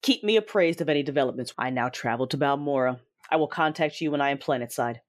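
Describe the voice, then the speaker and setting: An actor playing a cruel, vengeful Sith lord, narrating an audio drama in a deliberate, commanding, menacing way with a husky voice. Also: medium pitch, close to an everyday voice. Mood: cold commanding. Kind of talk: first-person audio drama narration with dialogue. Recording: dramatic music behind the voice. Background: music.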